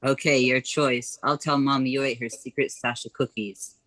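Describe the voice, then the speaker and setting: Woman playing a low-voiced bossy boy. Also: steady tone, normal speed, a bit bright, no echo. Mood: bossy, threatening. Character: bossy, scheming ten-year-old big brother. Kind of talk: amateur radio play acting.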